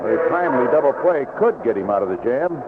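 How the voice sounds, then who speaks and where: A man working as a play-by-play announcer, giving live 1970s baseball radio commentary, unhurried in description and more animated on the action. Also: medium pitch. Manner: unhurried description between plays, rising excitedly on action. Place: outdoor ballpark broadcast booth. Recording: noisy, tinny vintage AM radio broadcast.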